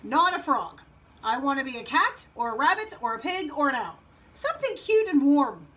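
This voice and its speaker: Woman voicing a whiny, high little frog. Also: sing-song, normal speed, bright, forceful tone, distant mic, exaggerated voice. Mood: glum, stubborn. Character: small discontented young frog, plaintive and curious.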